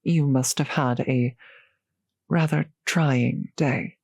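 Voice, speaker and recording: A centuries-old vampire host, acted by a woman in an intimate roleplay audio drama. The speech is unhurried and genteel, solicitous toward a guest, with polite old-fashioned phrasing. The sound is close and dry. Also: rumbling low, steady tone, vocal fry, a little mellow, no room sound, full character voice. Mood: hospitable.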